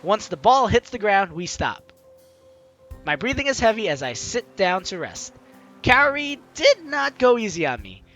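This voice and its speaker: Male let's-play host, high bright voice, playful teasing commentary, reading game text aloud, music behind. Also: very animated, unhurried. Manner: playful, teasing, exclamatory, talks to the audience.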